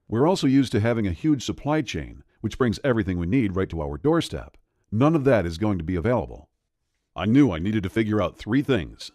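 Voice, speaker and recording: A man's voice: lowish voice, a little mellow, no echo, studio quality. A man narrating a scripted voice-over in a grave, dramatic, urgent manner. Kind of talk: scripted doom-laden voice-over pitch.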